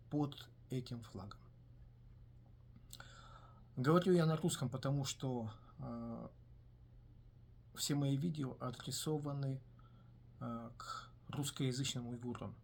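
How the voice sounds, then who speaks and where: A man in the middle of the usual pitch range talks through his points slowly and deliberately. He fills his pauses with drawn-out hesitation sounds. A faint background hum sits behind him. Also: creaky. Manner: measured, with frequent hesitant "a" fillers between phrases. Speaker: commentator on his own video channel. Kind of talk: solo video commentary. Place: based in the United States.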